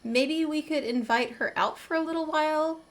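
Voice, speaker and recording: A woman's voice: high-pitched, normal speed, heavy background noise. A woman giving casual game commentary as a streamer, chatty and playful. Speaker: gaming streamer. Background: faint game music.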